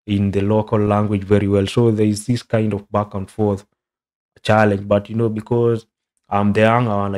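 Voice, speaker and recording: A young man from Kenya with a Kenyan accent, chatting casually as a content creator in English, which is not his first language, and dropping "bro" again and again. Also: lowish voice. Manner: relaxed, says "bro" a lot, rambling. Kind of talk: casual reaction commentary.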